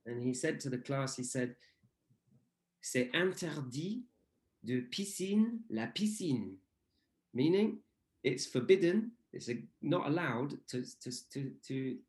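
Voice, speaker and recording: A man in his late thirties co-hosts a relaxed radio-style chat with a voice of middling pitch. He tells stories in a loose, playful way, with restarts and little jokes at his own expense. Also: slow pace. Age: 30s. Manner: anecdotal, with restarts and playful asides. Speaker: co-host, language guru. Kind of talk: casual chat.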